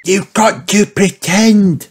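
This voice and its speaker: Squeaky, eager little animal child. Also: male voice, over loud music. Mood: eager, curious. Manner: exclamatory, childlike, drawn-out.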